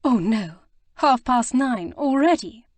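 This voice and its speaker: A woman voicing a flustered, earnest young gentlewoman who stammers and rushes to explain herself. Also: high voice, lively intonation, no room sound, pitched above the performer's own voice, exaggerated voice. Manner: breathless, stammering, eager to explain. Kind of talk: audiobook character reading.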